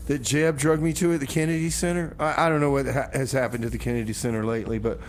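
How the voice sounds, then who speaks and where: A man hosting a music radio show, rambling casually in a folksy, halting way full of exclamations. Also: mid-range voice, steady tone, a little hiss or hum. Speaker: radio host.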